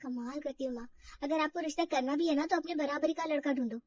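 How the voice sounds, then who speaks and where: Insecure young woman played by a female narrator, high, fretful, defensive, slightly muffled storybook audio. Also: steady tone, fast, darkish tone, recorded from a distance, natural voice. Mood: defiant. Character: insecure, self-doubting young woman from Old Delhi.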